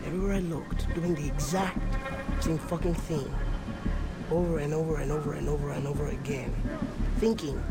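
A man playing an unhinged, sadistic young pirate warlord in a video game, volatile and profane, lurching between hushed menace and screaming. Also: fairly high pitch, steady tone, normal speed, natural voice. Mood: menacing, simmering. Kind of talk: video game cutscene acting. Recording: game audio with loud music behind. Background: loud music.

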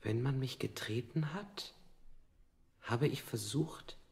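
A man playing a dazed prisoner in a radio play, recounting his interrogation in measured, literary sentences. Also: mid-range voice, darkish tone. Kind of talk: avant-garde German radio play acting.

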